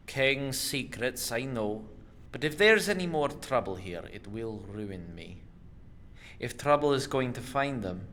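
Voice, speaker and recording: Gruff, hard-drinking fantasy farmer: mid-pitched, slow, curt, grudging and bitter. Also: male voice, fairly bright tone. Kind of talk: fantasy audio drama acting.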